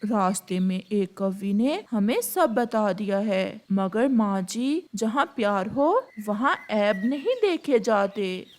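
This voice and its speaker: A woman's voice: mid-range voice, animated, takes it slowly, few pauses, darkish tone. Woman as an earnest young male bird, swooping intonation.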